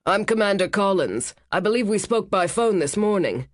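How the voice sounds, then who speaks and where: A woman voicing a big, cheerful naval commander who talks loudly and jokes wryly, in a dry close recording. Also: mid-range voice, expressive, normal speed, no echo, pitched above the performer's own voice, close to an everyday voice. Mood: welcoming. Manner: loud, hearty and wry.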